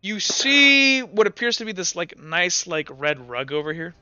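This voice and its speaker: A man's voice: high voice, very animated, normal speed, bright, forceful tone, clean tone. A young man narrating as game master of a tabletop game, rambling with lots of fillers. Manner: rambling, lots of likes and uhs. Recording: dull lo-fi mic.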